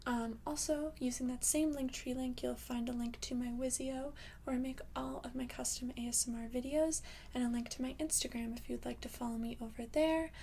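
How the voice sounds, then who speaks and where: A woman hosting an ASMR channel, speaking softly in a hushed, partly whispery voice as she addresses her viewers. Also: sits high, steady tone, brisk, gravelly, a little hiss or hum. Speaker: ASMR video creator and host. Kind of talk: soft-spoken ASMR channel introduction.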